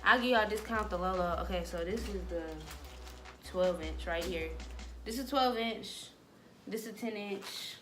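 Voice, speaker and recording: A woman's voice: mid-range voice, moderate pace. A young American woman chatting casually to camera as a vlogger, in a loose, slangy, rambling way. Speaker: vlogger giving a wig-making tutorial.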